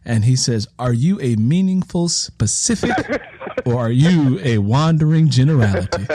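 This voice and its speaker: A man's voice: mid-range voice, lively intonation, moderate pace, dark tone, no echo. A middle-aged man hosting an interview in a casual, enthusiastic way, often repeating phrases for emphasis. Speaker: podcast interview host.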